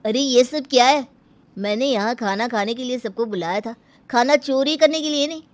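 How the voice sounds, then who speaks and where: A woman voicing an indignant brother of the bride in a cartoon story, scolding and accusing forcefully. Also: fairly high pitch, normal speed, dry recording, pitched above the performer's own voice, lightly acted. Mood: angry, scolding. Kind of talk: Hindi cartoon story acting.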